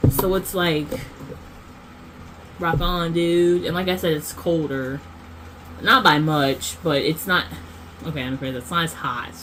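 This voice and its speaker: A woman's voice: low-pitched, fairly even intonation, measured pace, pauses often, noisy background. A woman vlogger rambling through a casual monologue, full of fillers and self-corrections.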